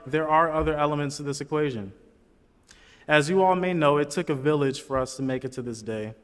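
A young man from Chicago speaks at a lectern, his voice sitting a little high for a man. He is earnest and chatty, throwing casual asides to the crowd. The sound rings with the long reverb of a big auditorium. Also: a bit bright. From Chicago, USA. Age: young adult. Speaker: student commencement speaker. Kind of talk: commencement speech. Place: large auditorium stage. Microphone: lectern microphone.

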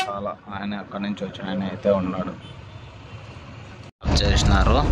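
A man narrating a casual bus travel vlog, chatting to his viewers as friends. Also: on the low side. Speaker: travel vlogger. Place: on a bus and at bus stands, outdoors. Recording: phone audio with loud road noise.

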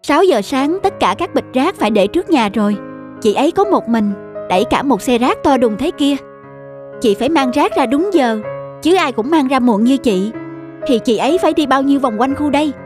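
A woman's voice: high voice, expressive, moderate pace, over music. A woman voicing a kind, helpful young female neighbour in a cartoon drama, considerate in manner. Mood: reproachful. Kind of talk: cartoon moral-drama dubbing.

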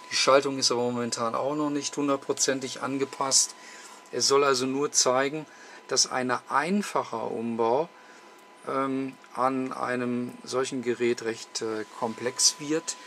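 A man's voice: mid-range voice, a bit bright, slight background noise. A man gives an unscripted technical explanation as a host, methodically and with frequent hesitations. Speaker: host demonstrating electronics repair. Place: indoor electronics workbench.

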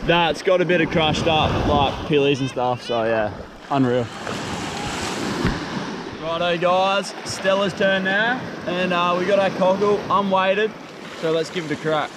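Easygoing Australian guy, high voice. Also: unhurried. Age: young adult. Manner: relaxed, chatty, easygoing slang. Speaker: fishing mate, guest. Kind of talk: casual outdoor how-to. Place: ocean beach outdoors, in the shallows. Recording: loud surf noise behind.

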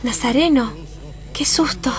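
An actress playing an anxious, secretive young sweetheart in a tango radio play, pleading dramatically with an Argentine accent. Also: female voice, high-pitched, unhurried, a crowd in the background. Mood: nervous. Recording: music behind the voice.

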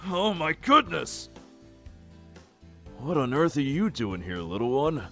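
A man voicing a gentle, earnest older hero mentor in a comic dub. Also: fairly high pitch, sing-song, slow, faint music behind, exaggerated voice. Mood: tender surprise. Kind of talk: fan comic dub acting.

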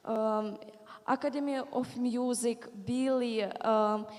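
Young Ukrainian-accented woman, halting and very slow, reverberant hall. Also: fairly high pitch, steady tone, darkish tone. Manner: hesitant, grateful, halting English. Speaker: guest singer. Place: large concert hall on stage. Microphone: handheld microphone.

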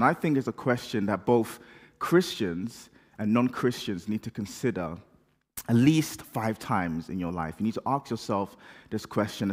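A man with a slight sore throat and cough, preaching a conversational reflection as pastor in a large church. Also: mid-range voice. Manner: casual, conversational preaching with fillers. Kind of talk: sermon reflection to a congregation. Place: large church hall, indoors. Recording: long church reverb.

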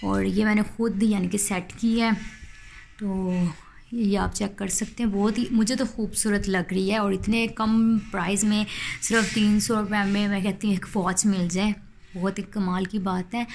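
A woman's voice: medium pitch, a little hiss or hum. A woman, chatting casually as she shows things around her home in a rambling, filler-heavy way. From Pakistan. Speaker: home vlogger. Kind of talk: casual home review vlog. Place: rooms of a home, indoors. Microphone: phone camera held by the speaker, off-screen.

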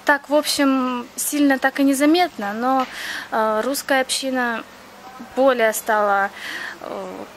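A woman's voice: high-pitched, fairly even intonation, unhurried, slight background noise. A young woman from Crimea, earnestly answering interview questions in plain speech with frequent hesitations. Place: outdoors near a café.

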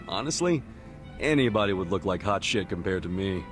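A man's voice: deep voice, lively intonation, moderate pace, music in the background. A man voicing a blunt, earnest, streetwise middle-aged underdog in a video game, slangy and frank. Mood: casual, frank. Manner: blunt, slangy, incredulous questioning. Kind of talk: video game cutscene dialogue acting.

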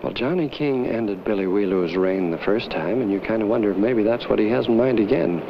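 A man with an American accent commentating and hosting a televised bowling match in 1950s style, with folksy, excitable turns of phrase. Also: fairly low pitch, fairly quick. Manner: excitable, folksy exclamations on good shots. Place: bowling alley. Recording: dull, noisy 1950s television soundtrack.

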